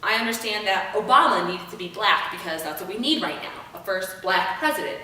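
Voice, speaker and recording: Young woman for a rehearsed monologue: bright, forceful voice, dramatic emphasis, heavily reverberant room with faint rumble. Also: lively intonation. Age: young adult. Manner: emphatic, theatrical delivery with deliberate pauses and repeated phrases. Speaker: monologue performer. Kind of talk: rehearsed spoken-word monologue. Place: room without an audience. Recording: echoey room, distant mic, rumbling noise.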